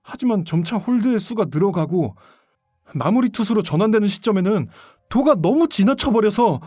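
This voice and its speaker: A man's voice: high-pitched, animated, normal speed, mellow, slightly rough, dry recording, clean studio sound. A man playing an indignant baseball player accused of a crime in an audio drama, pleading and protesting emphatically. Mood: bitter, frustrated.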